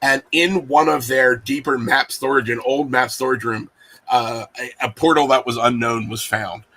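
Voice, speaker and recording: A man acting as game master, improvising narration for a tabletop game in a halting way with many pauses. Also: medium pitch, fairly even intonation, pushed and bright, rough recording.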